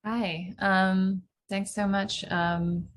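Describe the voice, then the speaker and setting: A woman's voice: mid-range voice, level tone, drawn-out words. A woman from Hong Kong, a guest artist on a video-call panel, speaking in a thoughtful way with frequent ums. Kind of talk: panel discussion.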